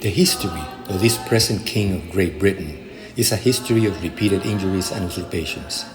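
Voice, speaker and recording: Deep-voiced man reading formally over music. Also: a little fast. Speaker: community reader. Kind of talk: formal scripted reading of a historical document.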